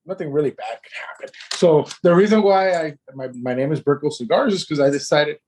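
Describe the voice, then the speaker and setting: A man's voice: fairly high pitch, brisk, darkish tone. A man in his thirties, born in Cuba and raised in Miami, telling his story as a podcast guest, chatty with restarts and laughs. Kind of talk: casual podcast interview.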